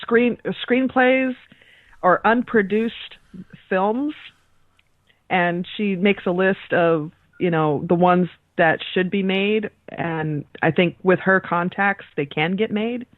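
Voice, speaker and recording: A woman chats casually as a podcast co-host. Her voice sits at a medium pitch and comes through a narrow, phone-like connection with very little room sound. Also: takes it slowly, no room sound. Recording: tinny phone-like call audio.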